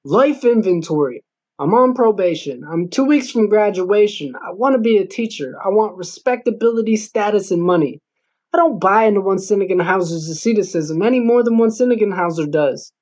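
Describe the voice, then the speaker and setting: A man's voice: high-pitched, expressive, normal speed, darkish tone. A man voicing an ambitious, self-important young male student in a declarative, self-assured way for an audiobook. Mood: resolute, ambitious. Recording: dull lo-fi recording.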